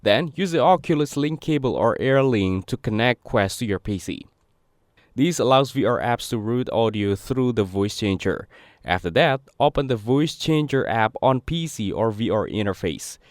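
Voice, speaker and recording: A man reading a scripted step-by-step tutorial in a measured, instructional way. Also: medium pitch, expressive, no echo, studio quality. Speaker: tutorial narrator.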